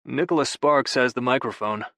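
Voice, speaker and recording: A man's voice: mid-range voice, normal speed, bright, forceful tone, no room sound, studio quality. A man voicing an easygoing young man who speaks bluntly and matter-of-factly.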